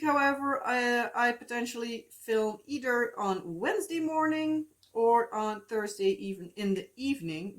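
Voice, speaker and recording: A woman in her thirties with a Dutch accent, chatting casually and rambling with lots of fillers and asides as a crafting vlogger, recorded on a phone at home. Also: fairly high pitch. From Netherlands.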